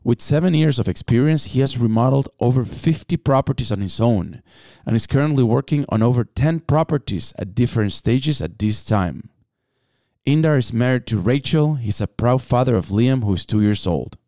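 A man's voice: medium pitch, lively intonation, normal speed, mellow, dry recording, studio quality. A man hosting a podcast interview, asking questions with many hesitant restarts. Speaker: podcast host.

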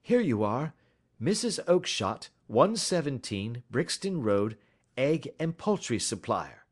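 A man voicing a shrewd, calm detective who speaks in a measured, offhand way in an audiobook story. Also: mid-range voice, slightly rough, voice pushed up, heavily acted. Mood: cool. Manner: calm, measured, careless-seeming. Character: cool, shrewd male detective, middle-aged.

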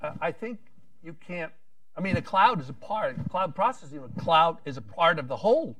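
A man's voice: fairly high pitch, lively intonation, moderate pace, bright, forceful tone, dry recording, distant mic, low-quality recording. A man speaking on a policy panel, rambling with frequent restarts and wry asides.

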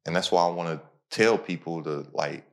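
Low-pitched male podcast host, casual and motivational. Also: moderate pace. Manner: motivational, talks in repeated punchy phrases. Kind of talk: casual conversational podcast talk.

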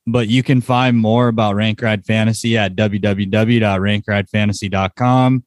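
A man's voice: fairly low pitch, fairly even intonation, moderate pace, dry recording, clean studio sound. A man hosting a casual sports podcast, chatting informally about results and what is coming up. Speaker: podcast host.

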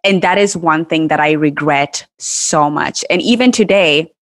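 A woman's voice: deep voice, unhurried, no room sound, clean studio sound. A woman from Kenya co-hosting a podcast, talking in a casual, reflective and affirming way. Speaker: podcast co-host.

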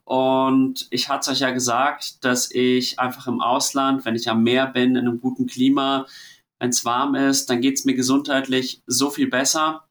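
A man from Germany hosting a casual spoken travel diary, relaxed and rambling, talking while he has a cold and is worn out. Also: medium pitch, measured pace. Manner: relaxed, rambling, chatty storytelling. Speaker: host of a travel diary podcast.

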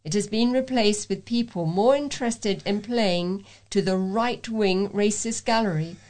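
Lady reading letters out for a local talking newspaper, medium pitched voice. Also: unhurried, a little mellow. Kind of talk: reading readers' letters aloud.

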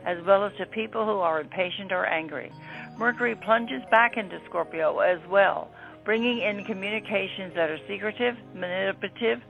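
A woman's voice: mid-range voice, vocal fry. A woman presenting an astrology segment on radio, reading from a script. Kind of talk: scripted radio horoscope narration. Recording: dull lo-fi audio. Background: faint music.

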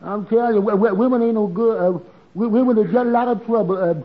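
Man doing a high-pitched stammering barber. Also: quick pace, close to an everyday voice. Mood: earnest. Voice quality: stutter. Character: nervous, stammering, bumbling male barber. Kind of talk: 1940s radio comedy acting. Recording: muffled old radio recording.

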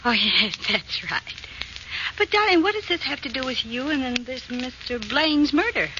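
A woman's voice: sits high, expressive, normal speed. An actress playing an anxious, devoted, rather flustered woman in a 1940s radio crime drama. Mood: anxious, pleading. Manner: flustered, solicitous. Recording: muffled old radio recording, clear noise.

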